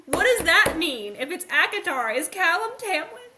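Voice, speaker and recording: A woman co-hosting a book podcast, chatting casually and interjecting in an expressive way. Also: extremely high pitch, moderate pace, very noisy. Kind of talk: casual chat.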